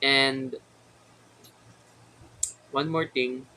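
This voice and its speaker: A young man with a Filipino accent, a native Tagalog speaker, talking to his viewers in a casual solo vlog with many pauses and fillers. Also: medium pitch, steady tone, bright, forceful tone, slight background noise. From Philippines. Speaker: vlogger. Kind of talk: casual solo talk to camera.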